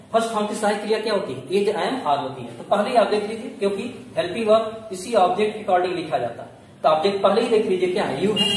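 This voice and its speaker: A man with an Indian accent teaching like a classroom grammar tutor, explaining step by step and answering his own questions. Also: high voice. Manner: didactic, asks questions and answers them himself. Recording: very echoey room, distant mic, faint noise.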